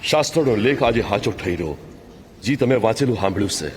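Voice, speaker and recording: A man with a Kathiawadi Gujarati accent playing a calm, authoritative religious teacher in a scripture audio drama, speaking in measured declarations. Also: on the low side, slight background noise, lightly acted. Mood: solemn, proclaiming. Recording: music under the voice.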